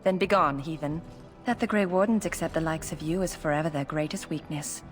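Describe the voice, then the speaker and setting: An actress playing a stern, contemptuous cleric in a fantasy game, formal and haughty. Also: female voice, deep voice, brisk, soft background music, lightly acted. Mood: pious, scornful.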